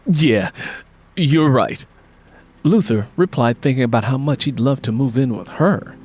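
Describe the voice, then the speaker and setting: A man's voice: mid-range voice, a little fast, mellow. A man reading scripted fiction as an audiobook narrator.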